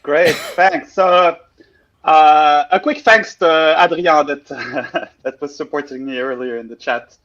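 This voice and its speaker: A man's voice: on the high side, slow pace, fairly bright tone. A man from Switzerland presenting casually on a livestream, full of fillers and self-deprecating asides. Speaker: guest presenter. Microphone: video call. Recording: dull lo-fi call audio.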